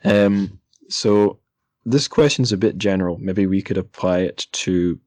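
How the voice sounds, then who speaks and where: A man's voice: sits low, a little slow, a little mellow, no room sound. A man hosting a casual literary interview, thinking aloud in a hesitant way and often correcting himself. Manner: hesitant, self-correcting, musing. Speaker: podcast host.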